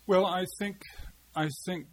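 A man, a poet, answering interview questions in a halting, reflective way, often pausing with fillers and restarting his sentences as he searches for words. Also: high-pitched, steady tone, a little hiss or hum. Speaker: poet and translator, interview guest. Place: seated at a table.

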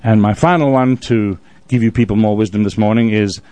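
A man's voice: on the low side, steady tone, fairly quick, warm tone. A man hosting a live radio show in a casual, folksy and joking way from a studio.

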